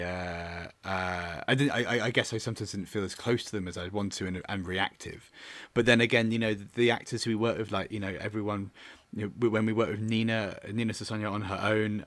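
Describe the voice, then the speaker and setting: Male, lowish pitch, very dry recording. Reflective interviewee who circles and restarts as he searches for words. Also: a bit bright, dry recording. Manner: hesitant, halting, repeats words as he searches. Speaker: theatre and audio drama director.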